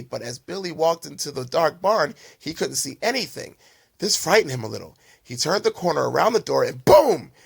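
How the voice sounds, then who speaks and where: Animated, husky-voiced male storyteller. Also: a little high. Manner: dramatic, expressive. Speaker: children's storyteller and host.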